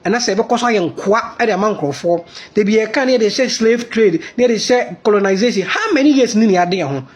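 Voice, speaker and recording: A man's voice: high voice, animated, brisk, breathy edge. A Ghanaian man with a Ghanaian accent giving an impassioned commentary monologue, mixing Twi and English.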